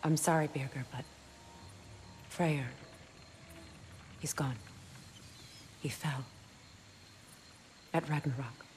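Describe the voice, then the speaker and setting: An actress playing a regal, compassionate warrior goddess and leader in a video game, measured, formal and sincere. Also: female voice, deep voice, steady tone, darkish tone, slight background noise. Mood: grave, caring. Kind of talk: dramatic video game acting.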